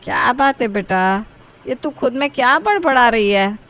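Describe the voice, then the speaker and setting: A woman's voice: on the high side, lively intonation, moderate pace, fairly bright tone. A woman playing a middle-aged cartoon mother-in-law, commanding and sarcastic but doting on her daughter. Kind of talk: Hindi moral-story cartoon dialogue.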